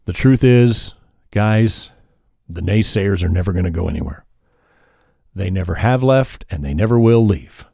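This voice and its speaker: Male podcast host, lowish mellow voice, dry close sound, calm reflective delivery. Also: creaky, no echo. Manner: calm and measured, with pauses and self-corrections. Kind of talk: reflective solo podcast monologue.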